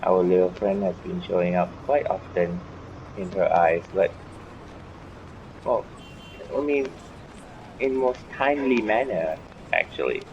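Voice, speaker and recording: Low-voiced man doing casual game commentary. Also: a little slow, long pauses. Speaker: gaming video host. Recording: dull microphone, faint background noise.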